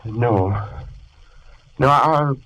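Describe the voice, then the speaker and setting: An actor playing Dr. Jekyll, a troubled gentleman scientist, in theatrical 1940s radio drama style. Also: medium pitch, very slow. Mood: weary. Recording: old radio recording with faint hiss.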